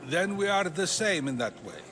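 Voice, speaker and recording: An actor playing a probing, articulate ancient Athenian philosopher in a video game, musing and questioning. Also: male voice, fairly high pitch, very animated, normal speed. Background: game music.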